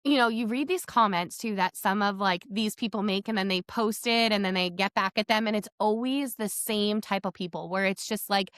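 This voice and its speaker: A woman's voice: mid-range voice, normal speed, few pauses, fairly bright tone, no room sound, studio quality. A woman hosting a casual podcast chat, talking in a chatty, emphatic way and often saying "like". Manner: chatty, emphatic, peppers talk with "like". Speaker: podcast host.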